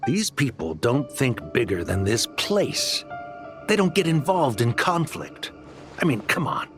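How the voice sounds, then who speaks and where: Slick, lively-pitched greedy mercenary. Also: male voice, a little slow. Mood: slick, persuasive. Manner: slick, pushy, persuasive. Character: brash, greedy male desert mercenary leader and arms dealer. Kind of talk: scripted video game acting. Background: music.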